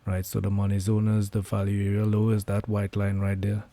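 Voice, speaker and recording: Hoarse man commenting live trades. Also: on the low side, fairly quick. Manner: relaxed, thinking aloud with many fillers. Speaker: trading livestreamer.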